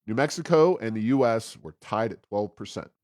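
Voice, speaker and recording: A man co-hosting a talk show, giving conversational political commentary with wry, sarcastic asides and a halting, self-correcting delivery. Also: on the low side, lively intonation, darkish tone, dry recording, clean studio sound. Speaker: co-host of a policy podcast.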